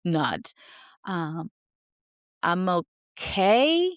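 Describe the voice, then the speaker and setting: A raspy, hoarse woman with a sore throat hosting her podcast in an unscripted, rambling chat, laughing at herself. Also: fairly low pitch, sing-song, extremely slow, no room sound, clean studio sound. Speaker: podcast host.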